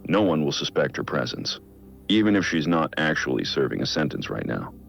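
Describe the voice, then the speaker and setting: An actor playing a brooding middle-aged American vigilante in a video game, dictating case logs in a gravelly, measured, introspective voice. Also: male voice, big pitch swings, lo-fi sound, heavily acted. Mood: measured, resolute. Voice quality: gravelly, low. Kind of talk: scripted audio-log monologue.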